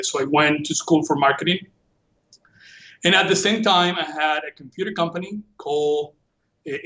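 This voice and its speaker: A man's voice: fairly high pitch, sharp tone, low-quality recording. A middle-aged man from Venezuela, a native Spanish speaker with a Venezuelan accent, telling his story casually as a podcast guest. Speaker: podcast guest, computer forensics professional.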